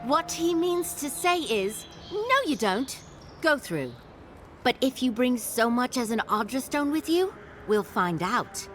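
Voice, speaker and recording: A woman playing a brisk, officious and wary guard in a video game. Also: sits high, big pitch swings, fairly bright tone, soft background music, exaggerated voice. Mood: warning.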